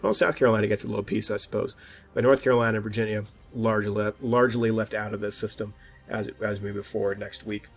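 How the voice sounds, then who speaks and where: A man's voice: lowish voice, steady tone, fast, creaky, slightly rough, faint music behind. Congested man giving an informal weather forecast. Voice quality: slightly stuffed-up, congested. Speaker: weather forecaster and channel host.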